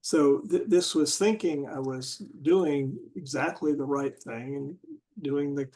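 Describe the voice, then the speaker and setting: Slow, halting man with a high voice. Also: a little mellow, poor audio. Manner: halting, searching for words with many restarts. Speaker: discussion group member. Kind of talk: casual group discussion.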